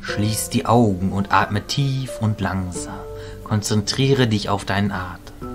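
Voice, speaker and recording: An actor playing a kindly adult male magician and mentor in a radio play, composed, measured and formal. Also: on the low side, music in the background, lightly acted. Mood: grave.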